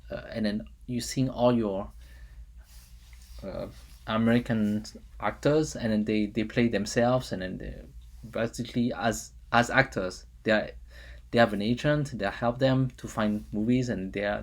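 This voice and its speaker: Rambling French-accented man vlogging. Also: medium pitch. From France. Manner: rambling, many fillers and restarts, chuckles often. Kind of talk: casual review vlog.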